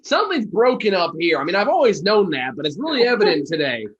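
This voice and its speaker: Bright, forceful man chatting about film. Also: high-pitched, sing-song, fast talker, lo-fi sound. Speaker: film review co-host. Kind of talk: casual chat review, opinionated and sweary.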